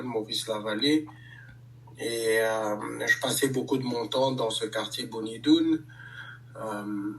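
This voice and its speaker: Un homme, artiste de hip-hop, qui répond calmement à une interview, avec beaucoup d'hésitations. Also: male voice, mid-range voice, distant mic, poor audio. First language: French. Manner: measured, many hesitations. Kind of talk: casual interview answers.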